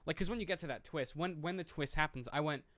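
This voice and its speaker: A man's voice: a little high, fast, a bit bright, no room sound. A man co-hosting a podcast, talking through a film in an informal, explanatory way and swearing freely. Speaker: podcast co-host. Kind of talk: casual film discussion.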